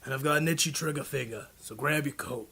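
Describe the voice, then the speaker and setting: A male actor as a thuggish noir gunman, gravelly and husky, pitched fairly high. He is curt and menacing in old radio-drama style. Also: quick pace, bright, forceful tone, heavily acted. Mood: threatening. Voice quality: raspy, gravelly. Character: curt, menacing male gangland henchman with a gun.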